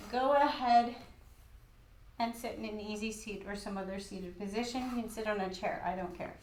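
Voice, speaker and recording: A woman with a croaky voice guiding a gentle yoga class in an old studio, cueing calmly with light laughs. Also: on the high side, reverberant, a little hiss or hum. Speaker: yoga instructor.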